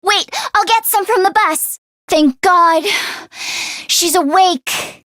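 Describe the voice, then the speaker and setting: Very high-pitched anime game girl. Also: slow, fairly bright tone, no room sound, clean studio sound, heavily acted. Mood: bright, relieved. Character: earnest, anxious teenage girl mechanic, sometimes bossy. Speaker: voice actress. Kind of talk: anime-style video game character voice lines.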